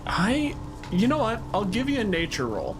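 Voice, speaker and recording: A man's voice: sits high, huge pitch swings, normal speed. A man acting as game master, casually refereeing and explaining a tabletop roleplaying game. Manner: informal, explanatory. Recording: music behind the voice. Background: music.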